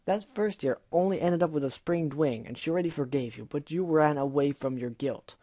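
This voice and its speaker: A man doing Gilda, a furious shouting griffin. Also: fairly high pitch, brisk, no echo, lightly acted. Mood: accusing. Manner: shouting, accusing, sarcastic. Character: angry young female griffin, blunt and confrontational.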